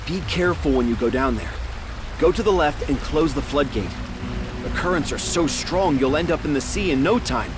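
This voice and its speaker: A man plays a practical, friendly animal plumber from a video game. He is earnest and matter-of-fact in a fairly high voice, with music clearly audible behind. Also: expressive, normal speed, natural voice. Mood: helpful.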